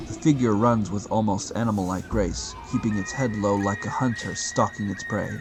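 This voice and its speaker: Guy narrating an audio drama, lowish voice, really loud music underneath. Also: fairly even intonation, normal speed.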